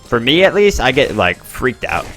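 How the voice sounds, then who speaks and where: A man hosting and commenting on a game tournament in a chatty, excitable way. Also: normal speed, soft background music, poor audio. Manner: chatty, excitable, bursts up in pitch at plays. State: expects to lose his voice.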